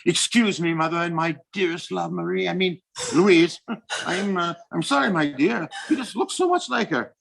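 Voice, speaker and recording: A man's voice: sits high, lively intonation, normal speed, creaky, fairly bright tone, heavily acted. A man playing a doting, self-important king in a period audio drama, speaking in flowery, courtly phrases. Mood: sheepish. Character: doting, self-important French king, adult, mother-obedient.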